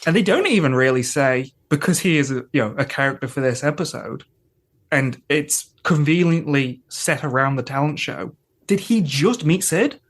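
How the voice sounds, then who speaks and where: A man's voice: fairly high pitch, expressive, moderate pace. Analytical man chatting on a podcast. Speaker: podcast panelist. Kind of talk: casual episode discussion.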